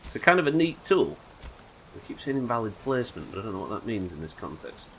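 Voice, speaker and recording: Male game streamer, nasal and a bit hoarse, wry muttering chat, lowish voice with a sharp edge. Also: big pitch swings, fast, slight background noise, poor audio. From United Kingdom. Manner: chatty, wry, swears casually, muttering asides. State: sick, slightly hoarse.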